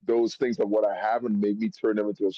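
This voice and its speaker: A man from Trinidad, a rapper guest, talking in an animated, slang-heavy way in a casual chat. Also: on the low side, fast talker, no room sound. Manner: animated, slang-heavy, calls host bro. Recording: dull, dry lo-fi sound.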